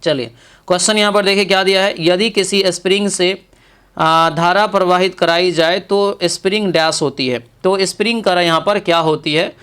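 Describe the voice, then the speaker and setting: A man's voice: sits high, steady tone, moderate pace, fairly bright tone, smooth. A man teaching an exam practice lesson, reading each question aloud and then confirming the answer.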